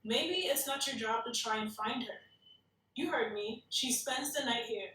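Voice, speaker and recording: Woman acting no-nonsense female police chief, forties, curt, bright forceful voice, echoey room. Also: on the high side, measured pace. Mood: firm, fed up. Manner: firm, curt. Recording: echoey, distant call audio.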